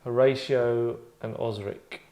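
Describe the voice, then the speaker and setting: A man's voice: medium pitch, steady tone, slow pace, dark tone. Male, casual chat, rambling, hesitant, dry comic delivery, self-interrupting. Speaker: table read leader among actors.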